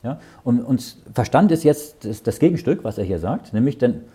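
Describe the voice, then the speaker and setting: A man's voice: medium pitch, sing-song, moderate pace, warm tone, breathy edge. A man leading a Bible study. He reads verses aloud, explains them in plain words and often checks with "ja?". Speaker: Bible teacher.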